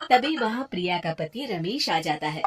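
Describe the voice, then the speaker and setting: A woman's voice: fairly low pitch, animated, moderate pace, over loud music. A woman narrating a scripted moral tale as a storyteller.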